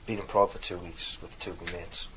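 A man's voice: low voice, flat delivery, quick pace, background noise. A young man from North East England with a mild Geordie accent, telling a loose, rambling anecdote in a casual recorded interview. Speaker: dialect archive speaker.

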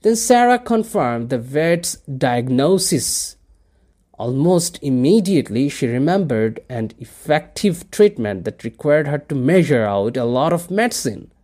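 Bangladeshi man with lively, rising intonation. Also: on the high side, measured pace. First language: Bengali. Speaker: dialect archive speaker. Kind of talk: reading a set passage, then speaking about his culture.

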